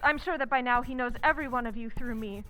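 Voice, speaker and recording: A woman's voice: fairly high pitch, fast, a bit bright, lightly acted. A woman playing an eager, talkative young woman writer in old-time radio drama style, with earnest enthusiasm. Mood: excited, affectionate.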